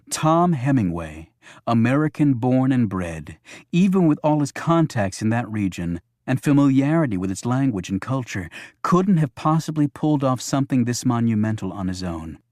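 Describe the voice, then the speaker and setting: Male narrator for thriller audiobook, medium pitch, scripted read, very dry studio-style sound. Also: darkish tone, no room sound.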